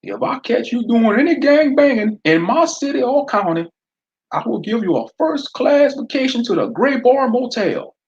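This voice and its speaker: A man's voice: very high voice, expressive, moderate pace, lo-fi sound, pitched above the performer's own voice. A man voicing a bullying small-town sheriff with a Southern drawl, sneering and menacing. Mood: threatening.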